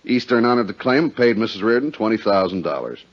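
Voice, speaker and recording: An actor playing a hearty, plain-spoken insurance adjuster, matter-of-fact and conversational, in a 1950s radio drama. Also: male voice, on the low side, lively intonation, fast talker. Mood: matter-of-fact, insistent. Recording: dull 1950s radio recording.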